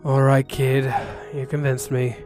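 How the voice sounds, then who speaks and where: A man's voice: medium pitch, flat delivery, measured pace. A man reading a first-person story as narrator, in the role of a ronin, with a wry, sarcastic manner. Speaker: narrator reading an interactive novel. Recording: music bed under the voice.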